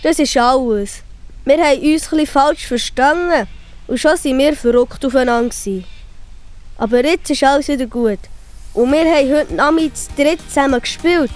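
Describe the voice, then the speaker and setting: Woman as a high-voiced young Swiss German schoolboy. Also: moderate pace, natural voice. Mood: relieved, eager. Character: young schoolboy, downcast but honest and eager. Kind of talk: children's audio play acting.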